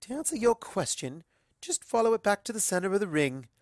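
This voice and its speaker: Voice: mid-range voice, very animated, brisk, no room sound, studio quality, pitched above the performer's own voice. A performer voicing a refined, poised female pony socialite with a genteel, composed delivery. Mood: unruffled.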